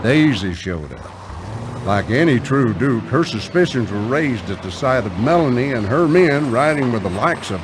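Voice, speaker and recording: A folksy balladeer narrates with a Southern American drawl and wry amusement. His delivery rises and falls in a lively way, with loud vehicle noise behind him. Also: mid-range voice. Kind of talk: folksy scripted storytelling narration.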